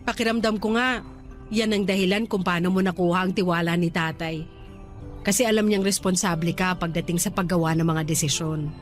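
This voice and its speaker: An actress playing a supportive young Filipina girlfriend in a radio drama, speaking gently and conversationally to reassure her partner. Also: on the low side, fairly even intonation, fairly quick, faint music behind, lightly acted. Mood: reflective.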